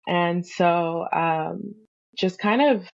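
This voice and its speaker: A young woman from California, a podcast guest earnestly telling her spiritual story with many soft ums and pauses. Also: lowish voice, vocal fry, dull audio. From Fresno, California. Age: young adult. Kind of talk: reflective conversational storytelling.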